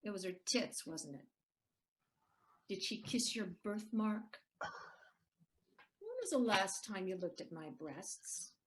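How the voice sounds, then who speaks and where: A woman's voice: mid-range voice, lively intonation, takes it slowly, pauses often. A woman playing a bitter, hard-drinking ex-wife in an audio play, taunting and sardonic. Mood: wounded, taunting.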